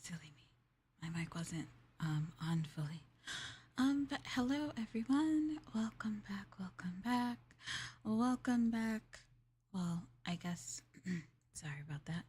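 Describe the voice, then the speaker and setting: Breathy, slow-talking woman in ASMR roleplay. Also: medium pitch. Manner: soft, partly whispered. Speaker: VTuber streamer.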